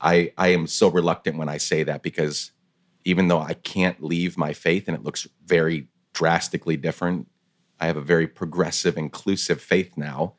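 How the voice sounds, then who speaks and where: A man's voice: low-pitched, steady tone, moderate pace. A man hosting an interview, speaking reflectively and with empathy, repeating words for emphasis. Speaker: podcast host. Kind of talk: conversational interview.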